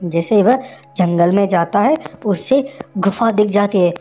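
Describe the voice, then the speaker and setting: A woman's voice: medium pitch, a little slow, warm tone, faint music behind. A woman narrating a children's moral story in a simple, conversational way.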